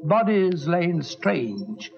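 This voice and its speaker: An earnest, upright doctor tells the story in a dramatic, measured way. His voice sits high for a man, and the recording is dull, like an old radio broadcast. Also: very animated, gravelly, faint music behind, heavily acted. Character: earnest male doctor narrator, upright and indignant. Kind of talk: 1940s radio acting, first-person narration.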